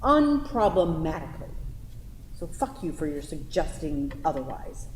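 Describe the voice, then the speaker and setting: Casting: actress as lowish-voiced woman, hurt but defiant with her lover, stage drama read in a reverberant hall. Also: sing-song, normal speed, darkish tone. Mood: angry. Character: wounded, defiant woman, former psychology student, leaving her lover. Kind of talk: staged reading of a stage play. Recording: echoey hall with faint noise.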